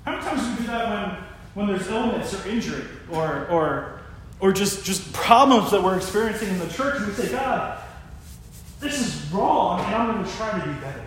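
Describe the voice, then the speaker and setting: A pastor in his thirties preaches with a high voice and an earnest delivery, often doubling back on words mid-thought. His voice rings through a very echoey church space with a faint hiss of noise underneath. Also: lively intonation, moderate pace, a bit bright. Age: 30s. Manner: earnest, repeats words while thinking aloud. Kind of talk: sermon.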